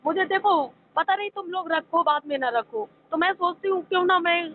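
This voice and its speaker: High-pitched pleading woman on tinny phone. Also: normal speed. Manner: insistent, pleading, repetitive. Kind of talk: emotional private phone argument. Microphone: phone call. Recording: narrow tinny phone line, faint noise.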